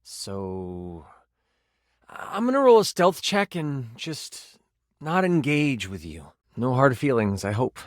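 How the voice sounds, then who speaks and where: A man's voice: mid-range voice, sing-song, slow pace, no room sound, studio quality. An actor voicing a personified gaming die as a nerdy, earnest, awkward man who stammers and gets theatrical, in video game style. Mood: guarded, regretful. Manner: hesitant, stammering, effusive, theatrical gamer talk.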